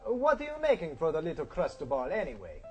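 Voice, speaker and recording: A man voicing a grumbling but soft-hearted cartoon chef with an Italian accent and a blustery, grudging manner. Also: a little high, huge pitch swings, brisk, faint music behind.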